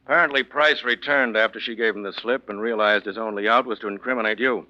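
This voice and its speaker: An actor playing a courteous, matter-of-fact police investigator who plainly explains a case in a 1940s radio drama. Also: male voice, fairly low pitch, fairly even intonation, fast, lightly acted. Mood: brisk, factual. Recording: muffled vintage 1940s radio recording.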